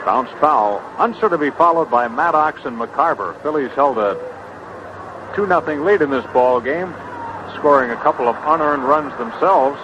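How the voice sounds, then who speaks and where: An American man calling baseball play-by-play in a conversational, unhurried way from a broadcast booth. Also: fairly high pitch, expressive. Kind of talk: live sports commentary. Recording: muffled, noisy vintage baseball broadcast.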